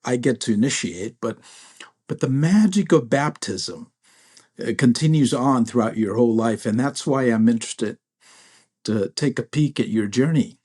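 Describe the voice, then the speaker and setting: Reflective pastor hosting an interview. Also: male voice, mid-range voice, expressive, normal speed, a little mellow, slightly rough. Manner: reflective, with frequent restarts. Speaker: pastor and host. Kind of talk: interview conversation.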